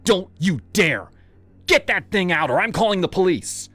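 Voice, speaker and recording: A man's voice: wildly swooping pitch, normal speed, gravelly, darkish tone, full character voice. A man voicing a frantic middle-aged mother who shrieks and panics. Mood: hysterical. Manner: shrieking, high-strung.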